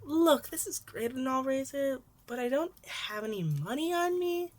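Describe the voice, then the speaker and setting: Woman playing a defiant, nervy teenage boy, pitched up. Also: lively intonation, normal speed. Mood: sheepish. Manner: rambling, indignant, sarcastic. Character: nervous, defiant teenage boy vigilante, sarcastic and stubborn. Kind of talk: audiobook-style fan fiction character acting.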